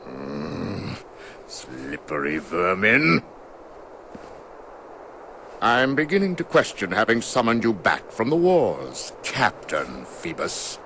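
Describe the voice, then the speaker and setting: An actor playing a cold older villainous judge in a video game, gravelly, imperious and disdainful. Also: male voice, low voice, huge pitch swings, slow, long pauses, sharp tone, a little hiss or hum, exaggerated voice. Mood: icy, disdainful. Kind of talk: video game cutscene dialogue.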